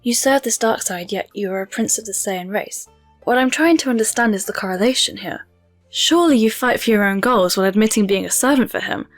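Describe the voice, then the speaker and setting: A woman's voice: mid-range voice, no echo, natural voice. Lady doing a stern amazon warrior heroine, composed and formal, dry close recording w music underneath, audio drama. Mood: probing. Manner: measured, formal, unintimidated.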